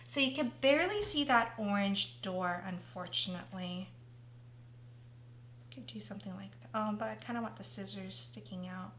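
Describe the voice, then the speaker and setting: A woman's voice: mid-range voice, normal speed, fairly bright tone, echoey room, slight background noise, rough recording. A woman hosting a casual craft tutorial, thinking aloud as she works, and losing her voice. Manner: chatty, thinking aloud while working.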